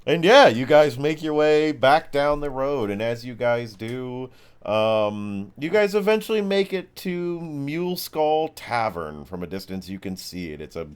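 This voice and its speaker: A man narrating a tabletop game as game master, casually improvising in a halting way with frequent restarts. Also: mid-range voice, very animated, clear, resonant tone. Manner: halting, loosely conversational, many mid-sentence restarts.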